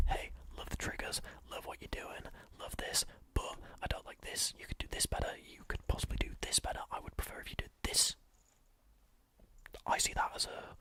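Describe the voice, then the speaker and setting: A young man talking to his chat in a soft, rambling whisper on an ASMR stream, often repeating himself.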